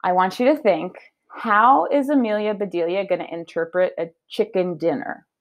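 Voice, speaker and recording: A woman's voice: medium pitch, normal speed. A woman teacher giving a read-aloud lesson for children, explaining her thinking and asking checking questions as she goes.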